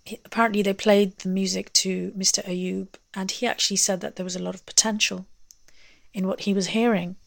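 A woman's voice: medium pitch, little pitch movement, brisk, gravelly, no room sound. A woman telling personal memories conversationally, leaning on key words as she goes. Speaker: interview guest, singer. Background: music.

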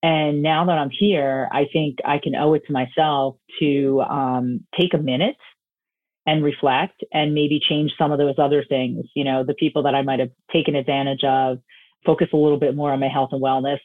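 A woman's voice: sits low, fairly even intonation, normal speed, no room sound. Self-assured woman coach, phone-quality audio, dry and close. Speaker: guest, health coach. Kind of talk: podcast interview answers.

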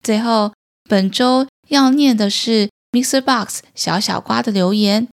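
一位女性儿童播客主持人，面向小朋友说话，讲解清楚易懂。. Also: female voice, on the high side, measured pace, creaky. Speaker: children's podcast host. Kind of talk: addressing young listeners, explanatory.

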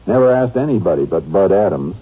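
An American actor playing a shrewd, laconic Texas Ranger in a 1950s radio drama, terse and matter-of-fact. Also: male voice, sits low, expressive, brisk, husky, exaggerated voice. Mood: businesslike. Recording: muffled old radio recording, faint music.

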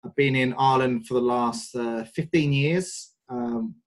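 A man in his forties with a London English accent speaks as a podcast guest. He talks slowly and thoughtfully, pausing often and filling the gaps with "um" and "uh." His voice sits at an ordinary middle pitch. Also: animated, vocal fry. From North London, England. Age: 40s. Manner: hesitant, many fillers, thoughtful. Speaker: guest, sales and mind coach. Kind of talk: podcast interview.

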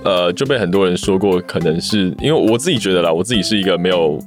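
A man with a Taiwanese Mandarin accent and a low, magnetic voice, chatting casually in a hesitant, self-deprecating way and repeating himself. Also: quick pace. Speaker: podcast co-host and amateur voice actor. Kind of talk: casual chat. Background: music.

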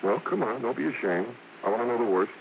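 Lively, brash American vaudeville hoofer. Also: male voice, medium pitch, gravelly, background noise. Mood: awkward, sheepish. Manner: blustering, halting, slangy. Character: brash, bashful vaudeville song-and-dance man, gruffly affectionate. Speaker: actor and singer. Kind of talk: 1950s radio drama acting. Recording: muffled old radio broadcast with music.

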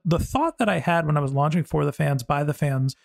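A man's voice: on the high side, a little fast, creaky, no room sound. American male podcast host, dry close sound. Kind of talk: conversational podcast interview.

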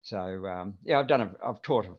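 A man's voice: fairly low pitch, normal speed, breathy edge. Older man with a mild Kiwi accent. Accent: mild New Zealand. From New Zealand. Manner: relaxed, rambling with many fillers. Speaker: guest. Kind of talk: casual interview chat. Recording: dull lo-fi remote audio.

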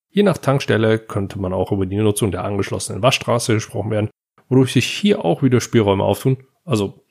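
A man's voice: lowish voice, gravelly, a little mellow. A man from Germany hosting a podcast, delivering a scripted advice monologue that speaks directly to the listener. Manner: direct address to the listener, anecdotal. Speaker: podcast host.

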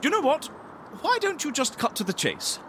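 A man playing a fussy, polite, easily flustered gentleman in an audio comedy. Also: extremely high pitch, huge pitch swings, moderate pace, slight background noise, full character voice. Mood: businesslike, strained. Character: fussy, polite, nervous gentleman leading a boat trip. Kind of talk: audio fiction comedy acting. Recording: water and boat ambience behind.

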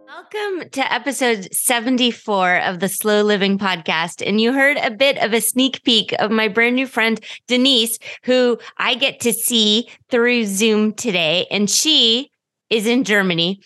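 A woman's voice: fairly high pitch, a little slow, bright, forceful tone. An American woman hosting a podcast in a conversational, effusive and self-deprecating way. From United States. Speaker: podcast host.

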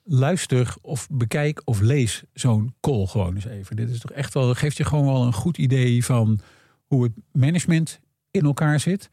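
A man's voice: medium pitch, moderate pace, dark tone, slightly rough, no echo. Man explaining in a dry-sounding room. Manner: acts out imagined dialogue while explaining. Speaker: guest analyst explaining earnings calls. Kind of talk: conversational explanation with anecdotes.

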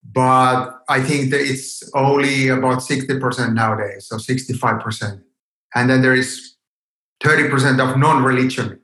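A man's voice: mid-range voice, steady tone, normal speed, pushed and bright. A man in his fifties from Finland with a Finnish accent, answering hesitantly as a guest in an interview and searching for words. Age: 50s. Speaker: podcast guest, yoga teacher. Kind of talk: unscripted interview conversation. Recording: roomy echo on the voice.

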